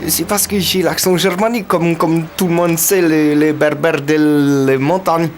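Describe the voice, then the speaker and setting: A man's voice: fairly high pitch, steady tone, measured pace, a little hiss or hum, natural voice. A man voicing a reluctant, grumbling cartoon character who complains about a bad plan. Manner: grumbling, whiny. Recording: music bed behind voice.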